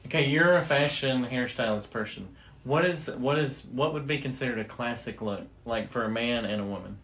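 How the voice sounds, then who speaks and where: A man's voice: mid-range voice, brisk, fairly bright tone, reverberant, slight background noise. A middle-aged American man co-hosting a podcast, chatting casually and asking playful, teasing questions. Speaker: podcast co-host. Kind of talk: casual banter.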